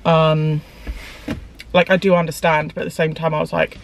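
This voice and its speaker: A young woman vlogging casually to camera, chatty and rambling with lots of fillers, her voice a bit croaky today. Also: sits low, flat delivery, moderate pace, low-quality recording. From United Kingdom. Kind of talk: casual vlog chat to camera. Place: parked car, then home hallway. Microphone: camera on dashboard, then handheld camera.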